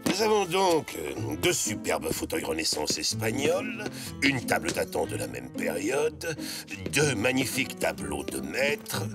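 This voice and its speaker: A man's voice: lowish voice, wildly swooping pitch, a little slow, music in the background. An actor playing a self-important, greedy military commander in a cartoon, pompous and savoring his words. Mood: smug, greedy. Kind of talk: animated cartoon dub.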